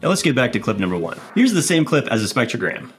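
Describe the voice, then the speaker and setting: A man's voice: mid-range voice, very animated, fast, soft background music. A man in his thirties hosting a scripted game show in a playful, punning manner.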